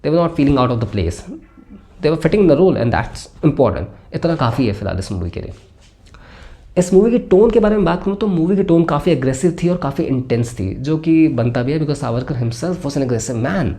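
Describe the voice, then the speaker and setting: Mellow-voiced man giving a conversational film review. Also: a little high, expressive, unhurried. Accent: Indian. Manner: explanatory, mixes in English phrases.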